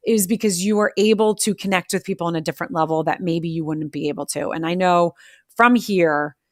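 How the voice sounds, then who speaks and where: Low-voiced woman host, dry close sound. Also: dry recording. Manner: warm, effusive, rambling with restarts. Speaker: podcast host. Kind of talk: live social audio interview. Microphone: remote audio on a social audio app.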